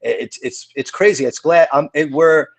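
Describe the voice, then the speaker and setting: A man's voice: fairly high pitch, moderate pace, dry recording. A man in his forties, a hardcore band singer, talking casually as a podcast guest, rambling with many fillers and restarts. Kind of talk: casual interview chat.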